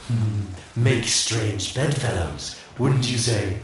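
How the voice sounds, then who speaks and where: An actor playing a sly, taunting schemer in a video game, with a smug, teasing manner full of drawn-out rhetorical questions. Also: male voice, on the low side. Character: sly, taunting male schemer, smugly menacing rogue. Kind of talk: video game character acting. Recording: very echoey, distant, loud noise.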